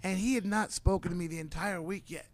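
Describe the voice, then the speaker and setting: A man telling loud, swearing road stories in a casual studio chat. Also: sits high, fairly quick. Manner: swears a lot, tells stories with loud laughs. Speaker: comedian, host. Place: podcast studio.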